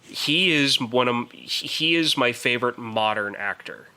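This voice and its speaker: A man's voice: medium pitch, lively intonation, normal speed, bright, forceful tone, clear, resonant tone. A man co-hosting a podcast and casually discussing films, emphatic and opinionated, often repeating words as he works toward his point. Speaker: podcast co-host.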